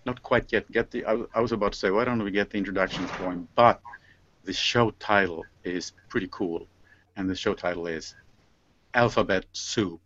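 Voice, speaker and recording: A man's voice: deep voice, moderate pace, fairly bright tone, no echo, muffled recording. A man hosting an informal online panel over a video call, speaking in a hesitant, stop-and-start way. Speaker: host of an online panel show.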